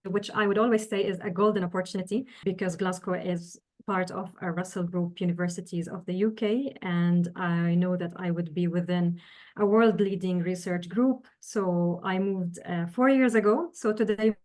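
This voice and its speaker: A woman in her thirties from Syria, raised in the Gulf, answering as a podcast guest. She speaks in an earnest, measured way and pauses often while explaining. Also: lowish voice, fairly even intonation, a little mellow. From Syria, raised in the UAE. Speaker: guest, engineering professor.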